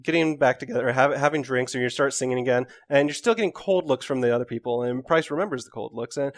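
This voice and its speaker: A man's voice: mid-range voice, quick pace. A man narrating a tabletop game as game master in a casual, improvised, jokey way. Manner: jokey, informal.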